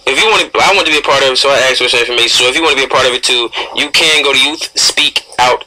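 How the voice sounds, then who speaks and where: A man's voice: mid-range voice, racing, sharp tone, poor audio. A young American man co-hosting a casual radio interview, repeating words for emphasis. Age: young adult. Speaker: radio show co-host.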